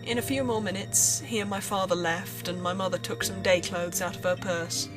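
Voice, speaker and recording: A woman's voice: fairly low pitch, fairly even intonation, moderate pace. A woman reading a scripted horror story as narrator, in first person. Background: music.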